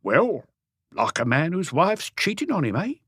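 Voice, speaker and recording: A man's voice: fairly high pitch, big pitch swings, moderate pace, a bit bright, slightly rough, no room sound, studio quality, voice pushed up, heavily acted. A man voicing a wry, evasive old house painter with colloquial speech, in an audiobook story. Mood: knowing, skeptical. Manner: colloquial, evasive, answers questions with questions. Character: cagey old Parisian workman, stocky house painter, wry.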